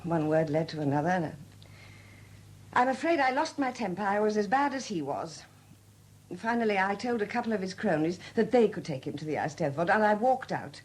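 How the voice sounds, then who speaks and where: An actress in a 1970s radio drama playing a weary, flustered sculptress, newly widowed and proud, speaking candidly in hesitant, halting phrases. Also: female voice, medium pitch, lively intonation, lightly acted. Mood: candid, regretful. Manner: hesitant, halting, repeating words. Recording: noisy old 1970s radio recording.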